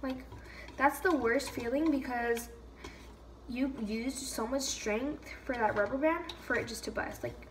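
A woman's voice: high voice, measured pace, slight background noise. A young woman chatting casually while baking in her home kitchen, with lots of "like" and "um" and jokes at her own expense. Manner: chatty, lots of "like" and "um", self-mocking. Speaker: vlogger. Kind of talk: casual baking vlog chat. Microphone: camera mic, from across the room at times. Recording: roomy kitchen sound from off the mic.

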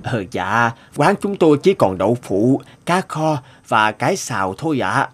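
A man's voice: medium pitch, big pitch swings, a little slow. A man playing a humble, deferential old village innkeeper in a cartoon fairy tale, speaking in a hesitant, polite, servile way.

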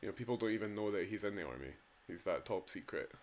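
A man's voice: lowish voice, lively intonation, quick pace, slight background noise. A young Scottish man giving casual, jokey, sweary commentary as a gaming video host. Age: young adult. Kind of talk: casual joking game commentary.

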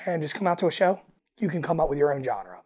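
A man with a raspy voice, talking casually as a podcast guest and telling stories with acted-out asides. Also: a little high, very animated, fast, darkish tone. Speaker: guest, alternative country singer and frontman.

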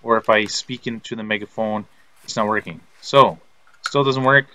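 A man giving step-by-step instructional narration as a repair technician, in a halting, plain-spoken way. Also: fairly low pitch, fairly even intonation, sharp tone, a little hiss or hum, low-quality recording. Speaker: repair technician presenting a tutorial.

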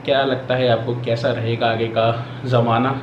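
A man's voice: mid-range voice, level tone, moderate pace, highs cut off, noisy background. A young man from India talking casually to the camera in a small bedroom, a travel vlogger who repeats his points and explains as he goes. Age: young adult. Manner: chatty, repeats his points, explains while packing gear. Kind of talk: casual vlog talk to camera. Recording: echoey room with music behind.

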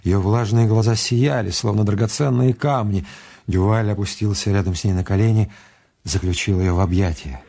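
A man's voice: on the low side, moderate pace, vocal fry, warm tone. A man giving dramatic scripted narration as the narrator of a radio play.

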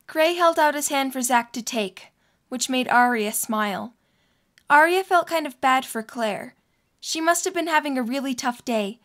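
A woman's voice: sits high, lively intonation, moderate pace, fairly bright tone. A woman reading scripted story narration as the narrator of an audio drama.

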